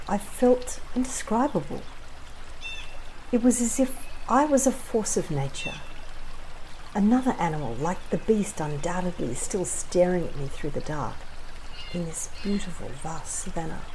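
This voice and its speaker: A woman playing a reflective, awestruck traveler who narrates her story in a lyrical, measured, solemn way over background noise. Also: lowish voice, dark tone. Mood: hushed, reflective. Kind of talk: dramatic first-person story narration.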